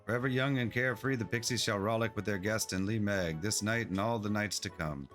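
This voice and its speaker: A man streaming a game, reading its dialogue and on-screen text aloud in a plain, unhurried way. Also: on the low side, fairly bright tone, soft background music.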